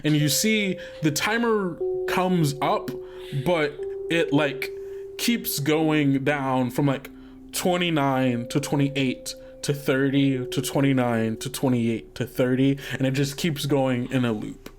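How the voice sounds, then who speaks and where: A man's voice: medium pitch, steady tone, music in the background. A man narrating as game master, hesitant and filling gaps with "uh" and "like". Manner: halting, lots of fillers like "uh" and "like".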